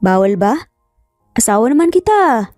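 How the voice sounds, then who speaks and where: A woman's voice: a little high, sing-song, normal speed, darkish tone, no echo. Woman as a teasing Filipino young man, music behind. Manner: teasing, commanding. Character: teasing young man in love, bossy and playful.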